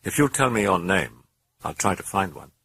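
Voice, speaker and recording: A man's voice: low-pitched, normal speed, slight background noise, full character voice. A man playing a wary, probing priest. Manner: calm, questioning.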